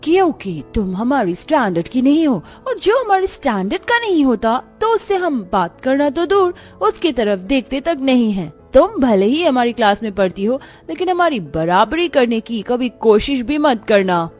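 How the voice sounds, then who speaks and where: A woman voicing a haughty, spoiled rich schoolgirl who talks with scorn and menace in an animated story. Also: a little high, moderate pace, hardly any pauses. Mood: scornful. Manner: scornful, snobbish, threatening. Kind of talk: Hindi animated moral story dialogue. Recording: music behind the voice.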